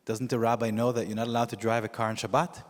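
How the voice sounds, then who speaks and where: A man whose first language is Yiddish, preaching as a rabbi in a measured storytelling way with frequent pauses. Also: medium pitch, flat delivery. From United States. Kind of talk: sermon. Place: synagogue sanctuary with long reverb. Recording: reverberant hall.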